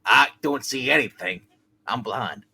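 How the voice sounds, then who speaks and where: A man's voice: mid-range voice, unhurried, sharp tone, breathy edge, voice pushed down, heavily acted. A man playing a hearty, blind, boozy old townsman, folksy and exclamatory, in a hammed-up radio play reading.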